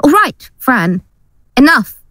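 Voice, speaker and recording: An actress as a placating, fearful girlfriend in an audio drama. Her high voice moves with lively swings, hesitant and soothing, affectionate yet anxious. The sound is dry. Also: takes it slowly, dry recording, full character voice. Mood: uneasy, defensive.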